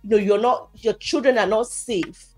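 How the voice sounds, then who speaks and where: A woman's voice: a little high, steady tone, moderate pace, a little mellow, faint music behind. Woman host in conversational debate.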